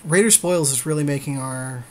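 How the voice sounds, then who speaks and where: A man's voice: on the high side, moderate pace, a little mellow. Casual man commenting on a game, slightly noisy. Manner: slangy, conversational, often agreeing in short replies. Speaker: podcast co-host.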